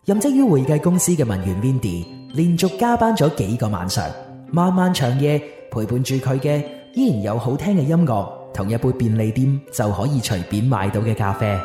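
Male narrator played by an actor for a romance radio play, measured storytelling with lively swings, faint music underneath. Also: mid-range voice, lightly acted. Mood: scene-setting. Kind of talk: scripted radio drama narration. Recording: roomy reverb, faint music bed.

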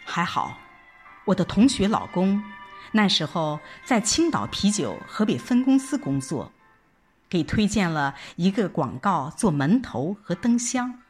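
A woman reading a scripted first-person audio drama narration in an expressive, reflective storytelling manner. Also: lowish voice, measured pace. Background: background music.

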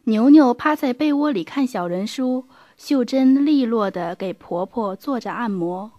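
A woman's voice: on the high side, lively intonation, a little slow, warm tone, no echo, lo-fi sound. A woman reading scripted narration for a radio drama as its storyteller.

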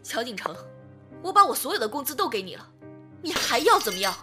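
A woman's voice: high-pitched, big pitch swings, fast talker, music in the background. A woman acting in a Chinese audio drama as a tender, wounded young woman confessing a past love. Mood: angry, desperate.

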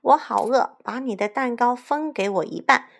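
A woman's voice: mid-range voice, sing-song, unhurried, creaky, exaggerated voice. A woman voicing a hungry male storybook wolf who blusters, demands and pleads. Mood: hungry, demanding.

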